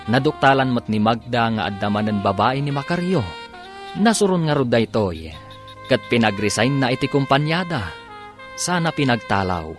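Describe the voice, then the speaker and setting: A man with a Filipino accent delivering theatrical scripted narration as a radio drama announcer. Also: mid-range voice.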